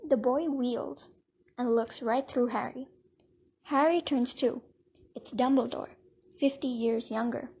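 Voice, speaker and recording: A woman's voice: fairly high pitch, steady tone, slow pace, pauses often, mellow, husky. A woman narrating an audio drama from a script in a dramatic storytelling style.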